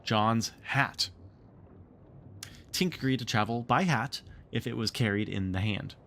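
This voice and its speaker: A man narrating a storybook read-along with expressive, theatrical storytelling. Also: medium pitch, unhurried, vocal fry, a little hiss or hum. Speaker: streamer reading a book aloud.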